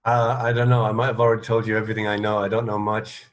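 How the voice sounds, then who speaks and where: A man's voice: lowish voice, flat delivery, rapid-fire. A man hosting a casual radio interview, speaking informally in a hesitant, self-effacing way. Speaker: radio show host, interviewer.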